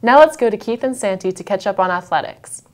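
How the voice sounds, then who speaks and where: A teenage girl hosting a student news show, trading dry, deadpan banter from a script. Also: mid-range voice, fairly quick, heavy background noise. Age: teens.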